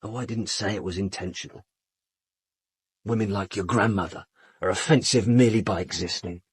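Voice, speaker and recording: A man's voice: lowish voice, moderate pace, slightly rough, no room sound. An actor playing a cool, supercilious British man in a radio drama, speaking in a dry, clipped, disdainful way. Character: cool, supercilious, sardonic man under police questioning.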